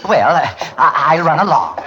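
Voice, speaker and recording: An actor playing a fussy, gushing, flustered little society host in a 1940s radio drama. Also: male voice, on the high side, big pitch swings, moderate pace, dull audio, crowd noise. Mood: flustered, fawning. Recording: old radio broadcast with music underneath.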